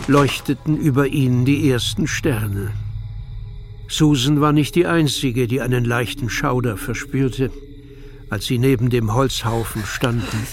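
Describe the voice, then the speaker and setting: A man's voice: mid-range voice, slight background noise. A man narrating a children's audio drama as a scripted storyteller. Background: music.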